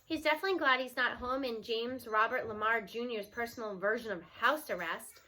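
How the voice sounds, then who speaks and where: Bright, high-voiced woman reading aloud. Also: low-quality recording. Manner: acts out dialogue with raised voice and slows for emphasis. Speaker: reader reading a novel aloud. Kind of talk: home read-aloud of a children's novel. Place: home with children nearby.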